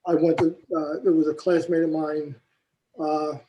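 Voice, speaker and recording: Rambling American man, slightly high voice. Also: little pitch movement, moderate pace, dark tone, a little hiss or hum, rough recording. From United States. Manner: rambling, full of fillers like "you know". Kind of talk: casual chat on a video call. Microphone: video call.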